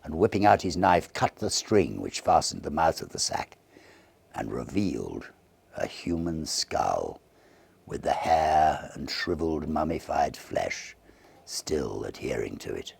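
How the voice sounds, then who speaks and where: A man reading a Victorian ghost story as an audiobook narrator, theatrical and expressive, with deliberate phrasing. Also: a bass voice, creaky, slightly rough.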